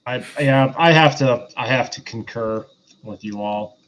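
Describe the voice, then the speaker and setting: A man's voice: mid-range voice, measured pace, a bit bright, slight background noise. Male review panelist, slightly dull lo-fi audio. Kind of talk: casual review chat.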